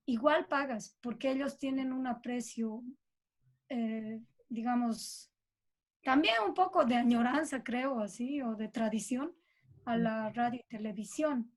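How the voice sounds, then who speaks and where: A woman with a Bolivian Spanish accent and a fairly high voice talks as an interview guest. Her delivery is casual and conversational, working ideas out aloud and punctuating them with small tag questions. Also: measured pace.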